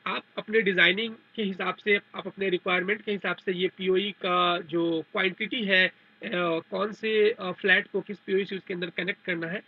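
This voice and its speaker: Male technical trainer, high-pitched with a gravelly edge, methodical step-by-step explainer, dull narrow recording. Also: little pitch movement, moderate pace. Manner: repetitive, step-by-step explaining with English technical terms. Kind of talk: explanatory tutorial over a diagram.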